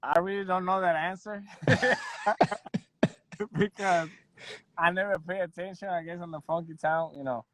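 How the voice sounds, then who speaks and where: A man in his thirties from Puerto Rico, a guest audio engineer chatting in a relaxed, modest way with soft laughs and lots of "you know". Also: high voice, unhurried, long pauses. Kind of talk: casual interview chat.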